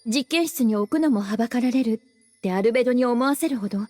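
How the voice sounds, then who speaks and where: A woman's voice: a little high, rapid-fire, no echo, close to an everyday voice. A woman playing a composed, knowing adult librarian in a video game, explaining things in a feminine manner. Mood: serious. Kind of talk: Japanese video game voice acting. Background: soft game music with jingles.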